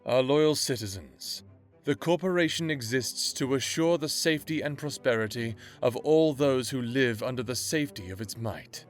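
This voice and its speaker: A man's voice: medium pitch, normal speed, soft background music, close to an everyday voice. A man playing a pompous, self-righteous general giving a formal, declamatory televised address in an audio drama. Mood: grand, solemn.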